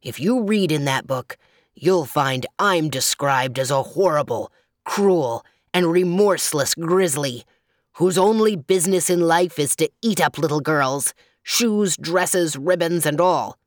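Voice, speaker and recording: A woman voicing a cruel, menacing grizzly bear in a storybook, with a deep, growly voice. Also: expressive, unhurried, no echo, studio quality. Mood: menacing, gloating. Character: menacing, cruel grizzly bear, matter-of-fact about appetite.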